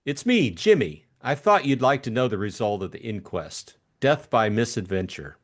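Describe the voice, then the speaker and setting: A man voicing a light-hearted young gentleman adventurer in an audiobook, breezy and chummy with a jaunty manner. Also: medium pitch, big pitch swings, moderate pace. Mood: concerned, breezy.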